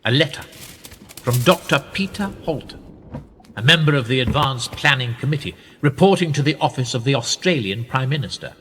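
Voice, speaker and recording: An actor playing a sly, persuasive older conspirator in a radio play, theatrical and insinuating, with husky, drawn-out, often hushed phrases. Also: male voice, mid-range voice, lively intonation. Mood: intent. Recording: echoey hall-like reverb.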